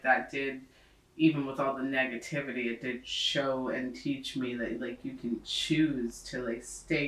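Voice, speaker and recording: A woman of thirty from Maryland shares her story earnestly, growing lively as she recounts it. Her voice is low for a woman. The mic is distant and the room echoes. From Maryland, USA. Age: 30s. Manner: earnest, animated when storytelling. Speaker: interviewee. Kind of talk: reflective documentary interview.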